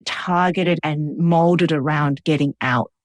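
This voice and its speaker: A woman's voice: low voice, takes it slowly, no echo. Reflective Australian woman, dry sound. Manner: reflective, many fillers like "like" and "you know". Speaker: guest cook and food writer. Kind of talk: conversational interview.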